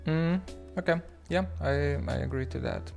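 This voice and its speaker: A man from Lithuania hosting a livestream, talking haltingly with many fillers and restarts as he reads the chat aloud. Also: a little high, creaky, faint music behind. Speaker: livestream host.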